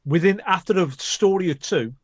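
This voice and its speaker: A man's voice: sits high, normal speed, no room sound, studio quality. A man on a podcast explaining a point at length, often repeating words as he goes. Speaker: podcast co-host. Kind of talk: casual chat.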